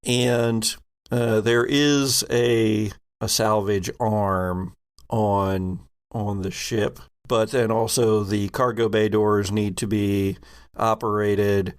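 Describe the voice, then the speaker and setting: A man with a lowish voice serves as game master of a tabletop game. He improvises his narration and explanations, stopping often mid-phrase as he picks his words. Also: fairly even intonation, takes it slowly, clean tone.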